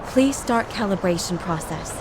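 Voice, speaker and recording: Woman playing a calm formal test guide in a sci-fi game, medium pitch, vehicle noise behind. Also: normal speed, natural voice. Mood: calm, clinical. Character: polite clinical female guide running a memory synchronization test. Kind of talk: scripted game dialogue, procedural instructions.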